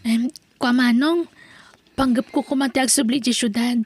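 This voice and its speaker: A woman's voice: a little high, fairly even intonation, moderate pace, breathy edge. A woman playing a worried younger sister who is a mother, in an amateur audio drama.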